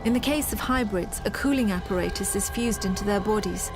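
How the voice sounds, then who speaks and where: A serious military woman, voiced by an actress, narrates a war story for a video game. Her delivery is measured and matter-of-fact, with a wary edge, at a middling female pitch. Cinematic music plays clearly behind her. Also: lightly acted. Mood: grave, detached. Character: grave, suspicious female military officer recounting a war.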